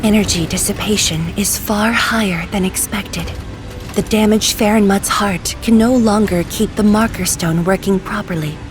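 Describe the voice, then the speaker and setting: A woman playing a composed, authoritative commander with formal, measured wording in a sci-fi game. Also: mid-range voice, natural voice. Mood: composed, grave. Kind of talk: dramatic game voice acting. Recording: loud music behind the voice.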